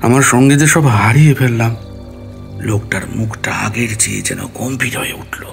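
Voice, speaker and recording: A man's voice: medium pitch, normal speed, creaky, faint music behind. A man narrating a ghost story in the first person, dramatically, acting out his own dialogue.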